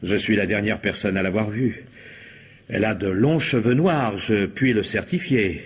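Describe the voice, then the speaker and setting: An actor playing a pompous, sentimental police commissioner in a French radio comedy-mystery, speaking with deliberate self-importance. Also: male voice, fairly low pitch, slightly rough. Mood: huffy.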